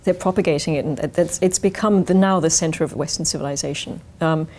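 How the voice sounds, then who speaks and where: Low-voiced Australian woman with stumbling restarts. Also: fast. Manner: repetitive restarts, animated. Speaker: think tank program director, co-host. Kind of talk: studio interview. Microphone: lapel.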